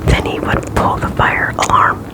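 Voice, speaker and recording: An ASMR creator reading a chapter book aloud in a soft unvoiced whisper, with casual asides. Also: measured pace. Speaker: ASMR reader.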